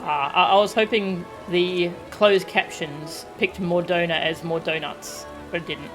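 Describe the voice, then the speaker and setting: A woman's voice: fairly low pitch, fairly even intonation, a little slow, low-quality recording. A woman streaming a video game, chatting casually and reading text aloud as she plays. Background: video game music.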